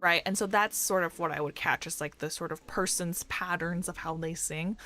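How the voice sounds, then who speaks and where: A young woman who streams and works as a doctor, chatting casually with a fairly deep voice and a rambling, filler-heavy way of talking. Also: normal speed, pushed and bright, soft background music. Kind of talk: casual chat with live chat readers. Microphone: desk microphone.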